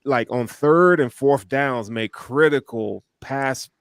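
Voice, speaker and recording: A man's voice: medium pitch, takes it slowly, clean tone, no echo, studio quality. A man co-hosting a sports podcast, chatting casually in an emphatic, slangy way.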